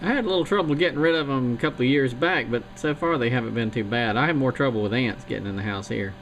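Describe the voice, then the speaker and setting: A man from Missouri in casual chat, speaking in a slow, folksy, plain-spoken way. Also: mid-range voice. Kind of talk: casual chat over radio link. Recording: radio link buried in loud noise.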